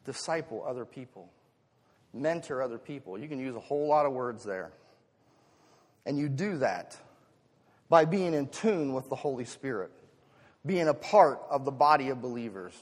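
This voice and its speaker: A man's voice: mid-range voice, lively intonation, normal speed, long pauses. American male preacher in a reverberant hall. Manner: rhetorical questions, conversational asides, emphatic swells. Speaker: pastor preaching to congregation. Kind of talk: sermon. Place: large reverberant church hall.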